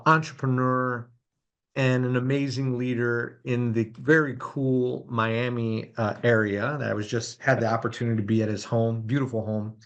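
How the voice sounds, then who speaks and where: A man with a mid-pitched voice hosts a podcast. He thanks sponsors and welcomes his guest, stumbling through restarts in a relaxed, conversational way. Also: creaky, dull audio. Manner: loose, many fillers and restarts. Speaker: podcast host. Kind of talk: podcast intro and interview.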